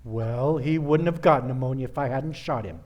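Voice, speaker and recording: A man's voice: medium pitch, normal speed, muffled recording, close to an everyday voice. A man acting a cold, curt, menacing killer. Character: cold, menacing murderous criminal brother, vain and stubborn. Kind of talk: radio play acting.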